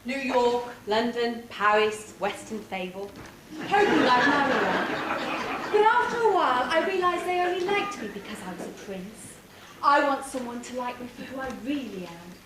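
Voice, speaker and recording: A woman acts a sincere young panto prince, fairly high in pitch. The delivery is earnest and projected across an echoey stage. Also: animated, moderate pace, natural voice. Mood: earnest, wistful. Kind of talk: amateur pantomime stage acting. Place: theatre or hall stage, live performance. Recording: echoey stage, loud background noise.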